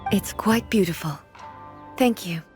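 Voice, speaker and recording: A woman playing a solemn, regal ruler in a video game, speaking in a formal, measured and eloquent way. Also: medium pitch, music in the background, natural voice. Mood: composed, gentle. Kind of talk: scripted video game dialogue acting.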